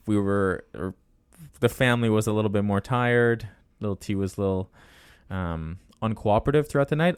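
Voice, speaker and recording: A man's voice: lowish voice, clean tone, no room sound, clean studio sound. A man in his twenties hosting a casual fitness podcast, chatty and laughing as he tells stories. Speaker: podcast host and coach.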